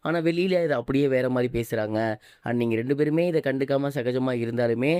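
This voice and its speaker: A man delivers online news commentary at a brisk pace. His voice is smooth and a little soft-toned, recorded close and dry. He mixes English words into his scripted delivery. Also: mid-range voice, dry recording. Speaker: online gossip news presenter.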